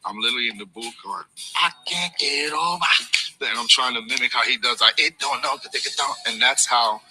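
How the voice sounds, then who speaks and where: A man with a deep baritone voice recalls a recording session in a documentary interview. He tells it in a relaxed way and acts out what was said in the studio. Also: fairly quick, slightly rough, low-quality recording. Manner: relaxed storytelling, acting out studio exchanges. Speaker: interviewed singer. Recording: documentary clip with music underneath. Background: music.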